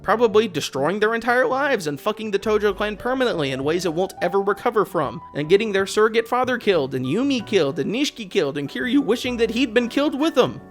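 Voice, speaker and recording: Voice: mid-range voice, expressive, fast talker, few pauses, faint music behind. A video essayist giving sarcastic, exasperated, opinionated commentary on a video game. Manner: sarcastic, exasperated, swearing rant. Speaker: video essayist, channel host.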